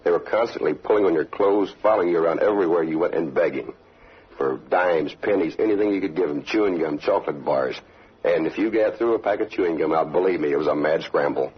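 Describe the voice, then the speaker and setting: A deep-voiced American man gives a soldier's eyewitness testimony. His voice is husky, and the old radio interview recording sounds dull. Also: fast talker. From United States. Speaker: soldier giving eyewitness account.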